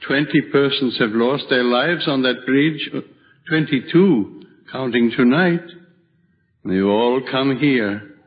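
Gentle old hermit played by a man, muffled recording. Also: mid-range voice, slow, close to an everyday voice. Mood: serene, solemn. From Switzerland (the figure). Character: gentle old hermit, a kindly, serene widower. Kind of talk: old-time radio drama acting. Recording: dull vintage radio recording.

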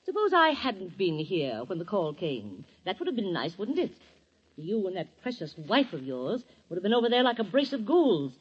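Brief: cutting, sarcastic schemer, very lively pitch, a bit husky, old radio sound. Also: female voice, normal speed. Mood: scornful. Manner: cutting, sarcastic, then honeyed. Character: sharp-tongued scheming woman, Sarah. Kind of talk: 1940s radio acting. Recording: dull old radio recording.

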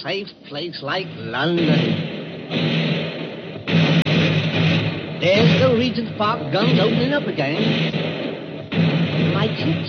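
A jaunty Cockney night watchman chats and jokes in a lively, up-and-down voice. The old radio recording sounds dull and is full of hiss. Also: high voice. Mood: chatty. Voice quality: jaunty, folksy. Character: chatty joking male Cockney night watchman. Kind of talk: 1940s radio acting.

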